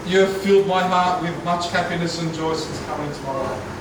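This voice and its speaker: Groom, loud and sincere, light jokes; reception hall, distant mic, heavy echo. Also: male voice, on the high side, brisk, background noise. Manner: heartfelt, with a few light jokes. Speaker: groom giving wedding speech. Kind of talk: prepared wedding speech. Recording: echoey hall, voice from far off.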